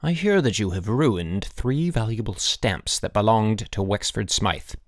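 A man's voice: fairly low pitch, animated, dry recording, studio quality. A man voicing a stern, sarcastic headmaster who scolds in snapping, emphatic phrases for an audiobook. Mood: cold accusation. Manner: snapping, clipped commands, drawn-out emphasis.

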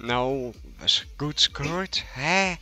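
A man with a bright, forward voice that swings freely up and down in pitch. He speaks very slowly, in the manner of a streamer bantering with friends over game voice chat. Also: animated, soft background music. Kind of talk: casual banter while gaming on a livestream.

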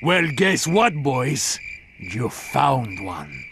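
Snarling pirate thug, menacing, swooping pitch, slow, noisy insect-filled background. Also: male voice, huge pitch swings, creaky, exaggerated voice. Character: belligerent slurring Tortuga pirate thug, adult man. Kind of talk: video game cutscene acting. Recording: noisy, night insect ambience behind.

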